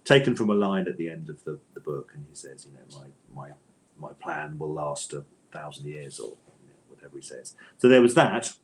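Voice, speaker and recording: A man's voice: rumbling low, lively intonation, a little fast, a little hiss or hum, rough recording. A man speaking as an interviewed author in a conversational, discursive way, often interrupting himself and repeating words.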